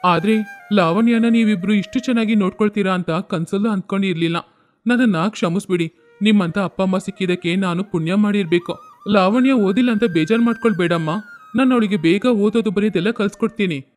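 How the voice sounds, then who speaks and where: An earnest young newlywed son acted by a man in a storybook tale. He sounds grateful and apologetic, high-pitched, with faint music behind. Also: fairly quick, close to an everyday voice.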